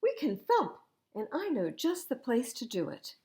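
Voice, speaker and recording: A woman voicing a playful, bouncy young male rabbit from a storybook, in an excited, exclaiming manner. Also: sits high, unhurried, pitched above the performer's own voice, heavily acted. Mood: restless, eager.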